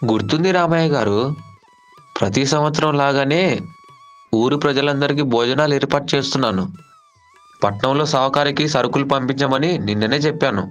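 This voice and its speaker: A man playing a respected, helpful village headman in a cartoon story, speaking with measured authority. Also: medium pitch, faint music behind, close to an everyday voice. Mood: welcoming. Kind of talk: Telugu cartoon story dialogue.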